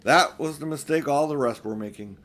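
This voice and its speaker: A man's voice: on the high side, fairly quick, fairly bright tone, close to an everyday voice. An older man voicing an earnest young king in a storybook, recounting a battle emphatically. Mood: earnest, excited.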